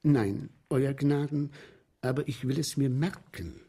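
A man's voice: mid-range voice, a little slow, husky. An actor in a German radio play playing an eager, ingratiating showman who guides noble spectators through macabre entertainments with theatrical flourish. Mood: boastful. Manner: ingratiating, animated, quotes aristocrats grandly.

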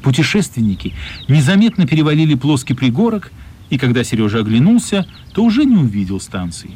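A man's voice: mid-range voice, very animated, brisk, darkish tone, slightly rough. A man narrating a radio play in a scripted storytelling style.

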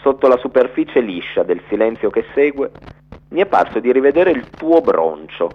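A man giving a theatrical, storytelling reading of a literary letter for radio, in an expressive narrator's delivery. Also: medium pitch, moderate pace, narrow, tinny audio.

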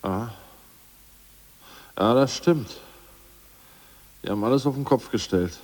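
An actor playing an anxious, complaining ousted party official in a radio drama. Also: male voice, medium pitch, slow, darkish tone. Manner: fretful, plaintive, complaining. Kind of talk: 1980s radio drama acting.

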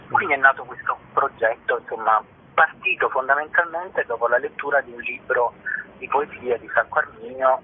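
A husky-voiced man gives a spontaneous radio interview over a muffled, lo-fi phone line. His pitch is middling, and he talks reflectively, pausing to search for words. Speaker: guest, singer and stage performer.

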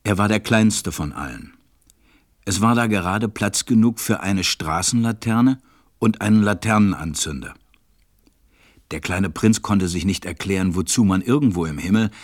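A man's voice: low voice, no echo, clean studio sound. A man narrating a storybook audio drama from a script.

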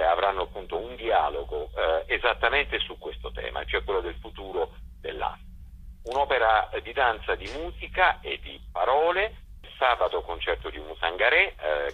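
Low-voiced man on a muffled phone line. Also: slightly rough. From Italy. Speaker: festival director being interviewed. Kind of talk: unscripted radio interview, presenting a programme.

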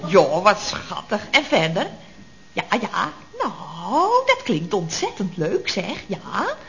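An actress playing a chatty, nosy, cheerful telephonist in an old radio comedy, with teasing, exaggerated intonation. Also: female voice, high voice, fairly bright tone, full character voice. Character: chatty, nosy, cheerful female office telephonist at builder's firm. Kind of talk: 1970s radio comedy acting. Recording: dull vintage radio recording.

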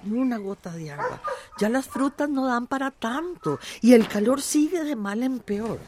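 An actress plays a caring, worried country aunt in a radio drama. Her voice is plaintive and tender, with very lively intonation. Clear noise runs behind her. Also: a little high, moderate pace, lightly acted. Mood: tender, weary. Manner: tender, plaintive, talks to herself. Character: tender, worried rural aunt who prays to Mother Earth.

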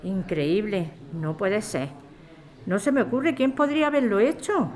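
A woman plays a bar keeper in a radio drama. She is chatty, concerned and motherly, exclaiming in everyday phrases in a mid-pitched voice. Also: a little slow, darkish tone, lightly acted. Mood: dismayed. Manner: colloquial, exclaiming.

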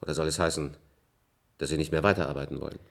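A man's voice: a bass voice, steady tone, fast talker, creaky. An actor playing a stern, authoritative male official in a German radio play, speaking formally and with cold control. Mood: sharp, skeptical. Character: stern male state official rebuking a subordinate investigator.

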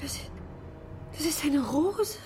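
An actress playing an impressionable, easily startled wife at a séance in a German radio drama, breathless and halting. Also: female voice, high-pitched, expressive, darkish tone, faint music behind. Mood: amazed.

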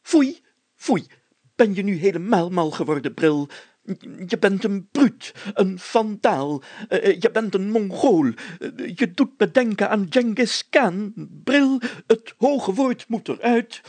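A pompous, excitable small-town mayor from a storybook , acted out by a male reader. The voice is pitched high and rough-edged, fussy and exclaiming, with indignant stammers and drawn-out words. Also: dry recording, heavily acted. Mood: indignant scolding.

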